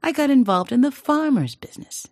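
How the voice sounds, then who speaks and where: A woman voicing a clever young peasant queen who speaks calmly, politely and slyly. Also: sits high, fairly quick, no room sound, clean studio sound, voice pushed up. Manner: calm, sly, polite.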